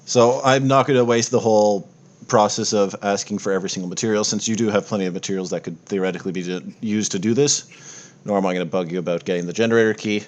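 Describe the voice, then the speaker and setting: A man's voice: fairly low pitch, fairly even intonation, quick pace. A man narrating a tabletop game casually as game master, with informal, sweary phrasing.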